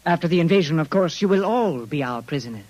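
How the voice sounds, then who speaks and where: A husky, fairly high male voice plays a smug Martian invader in an old radio drama. His delivery is formal and theatrical, with lively swings of pitch. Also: fast talker, dry recording, muffled recording. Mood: gloating menace. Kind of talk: 1950s radio drama acting.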